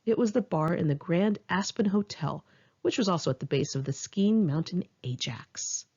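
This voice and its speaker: A woman's voice: lowish voice, animated, normal speed, a little mellow, breathy edge, dull audio. A woman reading her own memoir aloud in an informal audiobook style, candid, wry and conversational. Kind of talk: informal first-person audiobook narration with asides.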